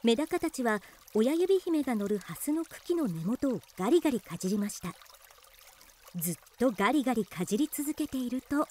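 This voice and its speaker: Mellow, expressive woman storyteller. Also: a little high, moderate pace, slight background noise. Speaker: narrator. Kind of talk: scripted storybook narration for radio drama.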